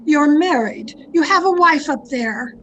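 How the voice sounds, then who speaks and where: A woman playing an obsessive, lovestruck mystery novelist in melodramatic old-time radio style, with pleading, deliberate delivery. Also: sits high, expressive, lo-fi sound, a little hiss or hum. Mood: desperate. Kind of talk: 1940s radio mystery acting and narration. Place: at home, online video-call performance.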